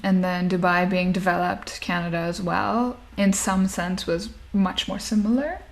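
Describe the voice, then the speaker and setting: A woman's voice: on the low side, fairly even intonation, unhurried, vocal fry. A woman in her thirties who grew up in Nigeria, talking casually as an interview guest and laughing often as she talks. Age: 30s.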